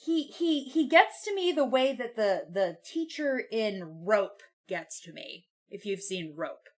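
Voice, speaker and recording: A woman's voice: mid-range voice, sing-song, a little slow. A woman co-hosting a podcast, talking casually and informally about a book, with swearing and loosely built, like-peppered phrasing. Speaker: podcast co-host.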